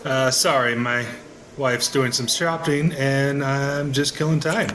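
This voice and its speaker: A man's voice: mid-range voice, fairly even intonation, slow, fairly bright tone, a little hiss or hum. A man with an American accent playing a skeptical, sardonic ordinary husband in an audio drama, hesitant but curious, with wry colloquial delivery. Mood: casual, wry. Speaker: actor in an audio play. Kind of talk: audio drama acting in casual American talk.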